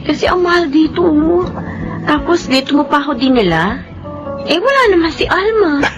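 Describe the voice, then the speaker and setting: An actress playing a discontented, materialistic young wife in a Filipino melodrama, whiny and reproachful with a Filipino accent. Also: female voice, high voice, lively intonation, moderate pace, muffled recording, over music. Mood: coy, complaining. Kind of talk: melodramatic Filipino serial drama acting.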